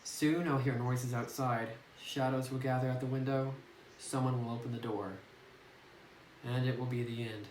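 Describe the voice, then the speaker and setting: A man's voice: medium pitch, fairly even intonation, moderate pace, darkish tone. A man playing through a horror visual novel, reading the game text aloud and reacting nervously to scary moments, recorded on a phone mic some distance away. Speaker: let's play gamer. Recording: distant roomy phone audio with noise.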